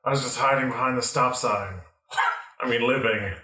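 A man's voice: medium pitch, normal speed, pushed and bright, lightly acted. A man playing a blunt, grumbling street vagrant in an improvised comic radio play. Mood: shifty, sly. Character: rowdy menacing street vagrant begging for change. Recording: roomy, echoey recording.

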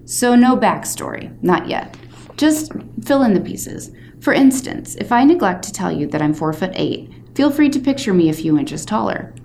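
A woman's voice: mid-range voice, very animated, normal speed, vocal fry, darkish tone. Woman reading as chatty, theatrical young boy narrator. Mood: chatty, self-deprecating. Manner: chatty, self-deprecating, theatrical. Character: short, wisecracking, dramatic eighth-grade boy narrator. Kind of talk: expressive first-person audiobook-style reading. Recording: faint background noise.

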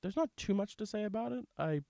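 Measured man chatting on a dry-sounding podcast. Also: sits high, animated, vocal fry, mellow, dry recording. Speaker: podcast co-host reviewing films. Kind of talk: casual film discussion.

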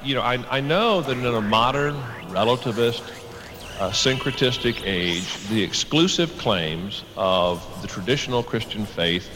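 A man answering in a debate in a measured, firm way, with hesitant pauses. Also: mid-range voice, lively intonation. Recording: long reverb, prominent music mixed in. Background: music.

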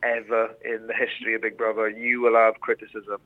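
A man's voice: lowish voice, monotone, brisk. A young Welsh man answering interview questions in an earnest, casual way, with lots of fillers and restarts. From Wales. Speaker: interview guest. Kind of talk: casual interview over a call. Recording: tinny phone-line sound.

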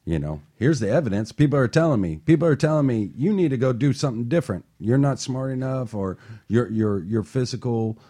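A man's voice: mid-range voice, lively intonation, a little fast, few pauses, dark tone. A man hosting a motivational talk show and coaching, talking earnestly and building to passionate emphasis.

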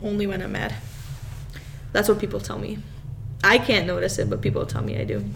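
A woman's voice: medium pitch, very animated, brisk, creaky, a bit bright, slight background noise. A young woman who is a student, chatting casually as a podcast guest. She is laid-back and teasing, and she laughs often. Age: young adult. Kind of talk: casual chat.

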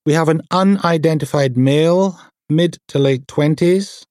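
A man's voice: fairly high pitch, measured pace, darkish tone, dry recording, studio quality, pitched above the performer's own voice, close to an everyday voice. A man voicing a precise, humourless female pathologist in an audiobook, formal and clinical. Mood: brisk, formal.

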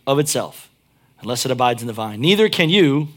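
A man's voice: mid-range voice, big pitch swings, a little fast, fairly bright tone. A pastor preaching a sermon, chatting and joking, then driving his points home with emphatic peaks.

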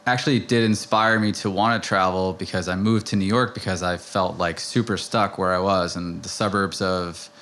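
Male interview guest, American, slightly deep voice, informal personal storytelling. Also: a bit bright. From suburbs of Philadelphia, United States. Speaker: podcast guest, travel content producer. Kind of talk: casual conversational storytelling.

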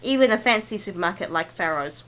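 A woman with a New Zealand accent co-hosting a podcast, playful and teasing with deadpan jokes. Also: medium pitch, fast. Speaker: podcast co-host. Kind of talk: casual podcast banter.

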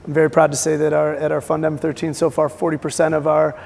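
A man with a raspy voice, interviewing a guest on a conference stage and restarting his phrases as he goes. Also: on the high side, completely flat delivery, quick pace, a little mellow, slight background noise. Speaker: interviewer, venture investor. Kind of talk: onstage interview questions. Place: conference stage in a large hall. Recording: long hall reverb.

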